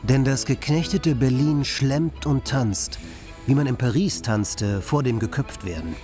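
A man's voice: medium pitch, unhurried, music in the background, lightly acted. A male actor plays an ironic observer narrating a literary radio play. His mid-range voice is articulate and theatrical, with a pointed, satirical edge. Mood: dry irony.

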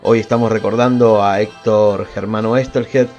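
A man's voice: lowish voice, fairly even intonation, normal speed, a little mellow. A man with a Buenos Aires accent presenting a radio programme as its host. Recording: dull lo-fi radio stream. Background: faint music.

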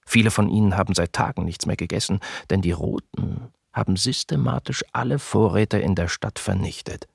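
Male wartime reporter: solemn radio docudrama read, husky, gravelly, dry studio. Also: fairly low pitch, normal speed, few pauses, no room sound, full character voice. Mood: solemn, pitying.

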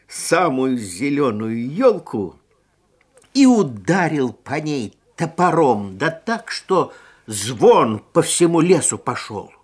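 A man narrating a children's fairy tale in a theatrical, expressive way, stretching key words for emphasis. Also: medium pitch, slightly rough. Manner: theatrical, deliberate, drawn-out emphasis. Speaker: storyteller.

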